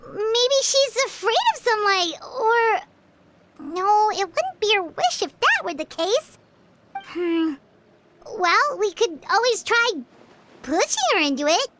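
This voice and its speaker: An actress voicing a tiny, excitable female fairy companion in a video game, squeaky, childlike and expressive. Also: takes it slowly, long pauses, heavily acted. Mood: curious. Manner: chatty, animated, expressive.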